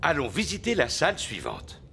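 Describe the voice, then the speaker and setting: A man's voice: on the high side, huge pitch swings, moderate pace, gravelly, heavy background noise, exaggerated voice. An actor voicing a jovial, bumbling father pig in a French cartoon dub. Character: jolly daddy pig. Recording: with loud background music.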